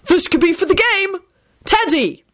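A woman's voice: very high voice, lively intonation, unhurried, pitched above the performer's own voice. A woman voicing a jovial, enthusiastic father figure in a fantasy story, hearty and exclaiming. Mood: excited.

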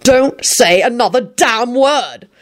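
A woman's voice: sits low, lively intonation, a little fast, a little mellow. A woman voicing a flustered, dry-witted British man who is easily exasperated, in a narrated story. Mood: furious.

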